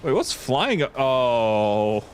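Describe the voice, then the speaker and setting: A man's voice: medium pitch, very animated, very slow, clean tone. A man chatting casually as a game streamer, enthusiastic and giggly, with a rambling way of talking. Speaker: streamer co-hosting a mod showcase. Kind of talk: casual gameplay chat. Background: game music.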